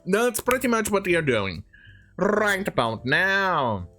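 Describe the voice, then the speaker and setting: Commentary host, highly animated intonation, sarcastic, mocking, rambling, hesitant, music behind. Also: slow. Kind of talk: mocking rant commentary, improvised.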